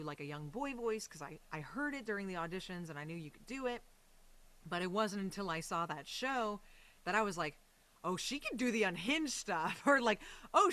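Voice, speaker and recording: A woman's voice: mid-range voice, fairly bright tone. A woman talking casually as an interview guest on a podcast, effusive and chatty, with slangy quoted asides.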